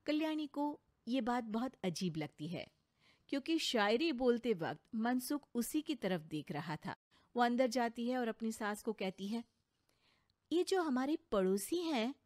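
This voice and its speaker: Higher-voiced woman narrating a story. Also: animated, measured pace, a little mellow. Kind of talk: scripted cartoon story narration.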